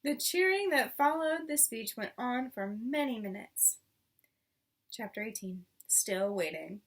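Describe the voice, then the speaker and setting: Woman, high-pitched voice, husky, expressive storybook read-aloud, recorded away from the mic. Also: unhurried, far from the mic. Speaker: storybook reader.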